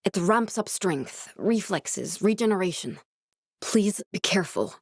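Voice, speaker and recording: An actress playing an earnest, guarded woman scientist in a video game, speaking in a measured, serious, instructive way. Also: medium pitch, animated, no room sound, studio quality. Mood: focused, briefing.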